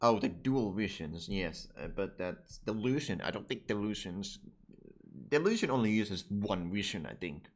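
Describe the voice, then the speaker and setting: A man's voice: fairly low pitch, expressive, moderate pace. A man giving casual, rambling game playthrough commentary, with plenty of swearing and irreverence. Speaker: streamer, content creator.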